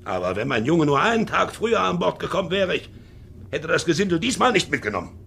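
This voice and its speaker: An actor playing a shady, callous middle-aged sea captain in a 1950s radio drama, halting and often muttering. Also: male voice, a little high, very animated, vocal fry, sharp tone, full character voice. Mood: agitated, contemptuous. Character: shady, brooding middle-aged male ship's captain, callous and self-justifying. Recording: hissy 1950s radio recording.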